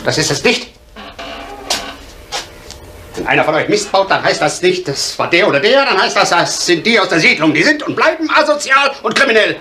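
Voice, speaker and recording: A man's voice: sits high, sing-song, quick pace, few pauses, pushed and bright, exaggerated voice. An actor playing a bitter, agitated man from a looked-down-on housing estate in a 1970s German radio drama. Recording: faintly noisy 1970s radio play recording.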